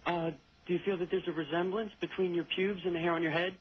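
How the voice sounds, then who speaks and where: A man's voice: high-pitched, flat delivery, fast talker. A man calling a phone-in and asking a question in a polite tone. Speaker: phone-in caller. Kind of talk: polite-sounding call-in question. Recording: muffled phone line, faint hiss.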